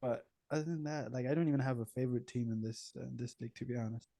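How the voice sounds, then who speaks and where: Relaxed man chatting football, dry sound. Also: mid-range voice, rapid-fire, creaky, no echo. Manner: relaxed, candid, hedging. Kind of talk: casual sports chat.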